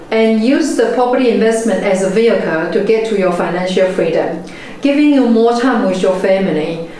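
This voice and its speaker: A woman in her forties with a Chinese accent, teaching in a measured, earnest way. Her voice sits at an ordinary pitch for a woman. The room around her rings with heavy echo, and a faint noise hums underneath. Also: darkish tone. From China. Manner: measured, earnest storytelling delivery. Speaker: property investment mentor. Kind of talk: motivational webinar talk.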